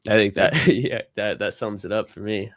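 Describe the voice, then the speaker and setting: Laid-back young man, low and dry. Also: normal speed, fairly bright tone, no echo. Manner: laid-back, filler-heavy, laughs easily. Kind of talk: casual podcast conversation.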